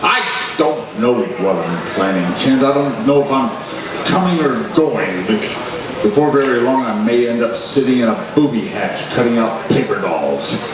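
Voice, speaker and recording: A man's voice: medium pitch, expressive, a little slow, crowd noise, lightly acted. A man playing a worn-out, exasperated newspaper boss in old-time radio drama style, with theatrical, emphatic delivery. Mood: weary, frazzled. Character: blustery, frazzled, worn-out male newspaper boss. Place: live stage recreation at a festival. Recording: echoey hall, distant mic, noisy.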